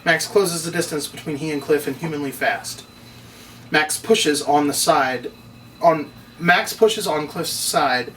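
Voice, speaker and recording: A man's voice: fairly high pitch, a little slow, a bit bright. A man reading stage directions as scripted narration at a table read. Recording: distant mic, noisy room ambience.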